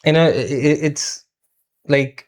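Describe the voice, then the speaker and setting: A man's voice: a little high, steady tone, normal speed. A man co-hosting a conversational film review, thinking aloud in a hesitant, thoughtful way with the odd mild swear word.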